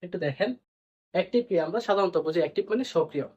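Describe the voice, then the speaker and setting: A man's voice: high voice, lively intonation, racing, a little mellow, breathy edge. A man teaching a grammar lesson, repeating points and answering his own questions as he explains. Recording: dull, lo-fi band-limited sound.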